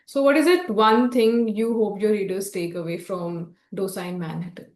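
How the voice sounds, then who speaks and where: A woman's voice: medium pitch, normal speed, darkish tone, reverberant. A young woman interviewing an author, polite and brief in her replies. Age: young adult. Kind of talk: interview questions.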